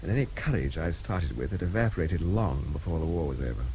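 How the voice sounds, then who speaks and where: An actor playing a wry, war-weary intelligence captain in a radio drama, dry, clipped and self-deprecating. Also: male voice, deep voice, animated, fast talker, warm tone. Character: war-weary, wry British army intelligence captain, frank about fear. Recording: radio drama with faint background noise.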